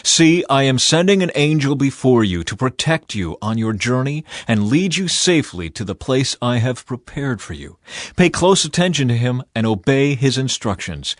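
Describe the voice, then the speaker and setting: Male audiobook Bible reader, mid-range pitch, measured and solemn, close dry studio sound. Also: no echo. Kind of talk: scripted scripture narration.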